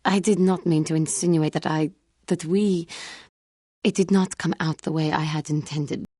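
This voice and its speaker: An actress playing a proud but guilt-haunted female feline alien warrior in a video game, speaking formally and earnestly, with halting care. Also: low voice, steady tone, mellow, slightly rough, no echo, studio quality. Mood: shy, apologetic. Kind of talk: scripted video game character dialogue.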